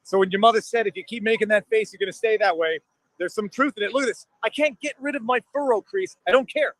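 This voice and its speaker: A man's voice: sits high, quick pace, fairly bright tone, no room sound, poor audio. A man, talking as a live street interviewer, chatty and digressive, repeating the starts of his questions. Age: 40s. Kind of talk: spontaneous on-street interview and commentary.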